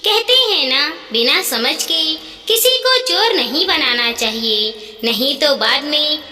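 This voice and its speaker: A woman narrating a scripted moral story in an expressive, deliberate and emphatic way. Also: high-pitched, soft background music. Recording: echoey room with long reverb.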